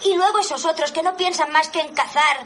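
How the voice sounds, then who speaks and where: Worried castaway boy done by a woman, high voice, anxious, film clip with loud outdoor ambience. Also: a little fast. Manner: anxious, sincere, quietly defensive. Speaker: dub actor in a film clip. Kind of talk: dubbed film dialogue. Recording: film soundtrack with clear outdoor ambience noise.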